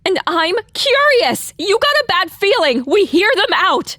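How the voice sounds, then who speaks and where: A small, stubborn pony mare played by a woman with a high voice and a close, dry sound. She is feisty and sarcastic, always ready to argue, in audio drama style. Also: sing-song, a little slow, bright, forceful tone, no room sound, full character voice. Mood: defiant, shouting. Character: small, headstrong, curious young pony mare adventurer.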